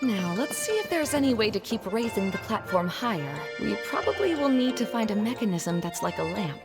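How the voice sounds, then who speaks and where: A woman voicing a composed, reassuring young woman in a video game, guiding her companions in a gentle, explanatory way. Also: medium pitch, big pitch swings, a little fast, over loud music. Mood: purposeful. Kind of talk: video game character voice acting.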